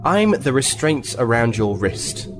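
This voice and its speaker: A firm army captain in a space audio drama, very expressive, formal, music under it. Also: male voice, medium pitch, unhurried. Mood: stern. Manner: formal, assertive. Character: firm, authoritative male military captain, politely confrontational. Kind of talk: sci-fi audio drama acting.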